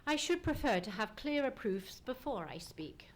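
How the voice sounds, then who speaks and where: An actor playing a commanding, precise gentleman detective in an old-time radio play, with formal, emphatic diction. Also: mid-range voice, big pitch swings, normal speed, slight background noise, natural voice. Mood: grave, assured. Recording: live stage radio play recording.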